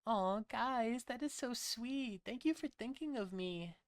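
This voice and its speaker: Guy doing a perky office lady, high pitched, syrupy sweet but menacing, horror story. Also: animated, measured pace, clear, resonant tone, no room sound, full character voice.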